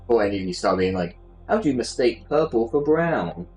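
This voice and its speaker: A man's voice: medium pitch, sing-song, fairly quick, darkish tone, soft background music. A man with a Southern English accent chatting casually as a streamer while he paints, rambling and self-deprecating with lots of fillers. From Southern England.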